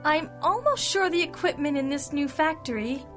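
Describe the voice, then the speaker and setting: A woman playing an earnest, excitable working mother and parishioner, genteel and gushing, in a radio drama. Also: high voice, moderate pace, soft background music. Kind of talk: 1930s-style radio drama acting.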